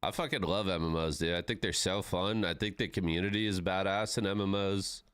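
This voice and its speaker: A man's voice: sits low, steady tone, a little fast, a bit bright, clean tone, no room sound. A man in his thirties chatting casually with his live-stream viewers, laid-back and swearing freely. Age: 30s.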